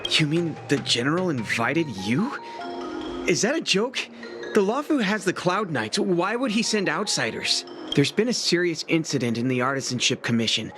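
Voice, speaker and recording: A man's voice: fairly high pitch, very animated, normal speed. A man voicing an anxious, frank and weary young apprentice craftsman in a video game, with a light youthful voice. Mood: irritated, skeptical. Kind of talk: video game character acting. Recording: loud game music behind voice. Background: game music.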